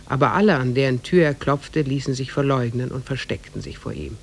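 A man playing a measured storyteller, narrating an old oriental fairy tale in a 1950s radio drama. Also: medium pitch, creaky, close to an everyday voice. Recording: faintly noisy 1950s radio recording.

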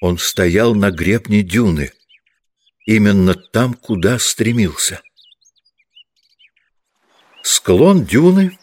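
Low-voiced male audiobook narrator. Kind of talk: scripted literary narration.

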